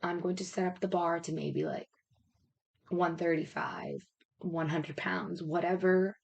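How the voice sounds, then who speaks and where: Raspy, lower-voiced woman coaching casually. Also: steady tone, unhurried. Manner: informal, rambling, explains step by step with check-ins. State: raspy, losing her voice. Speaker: fitness and health coach.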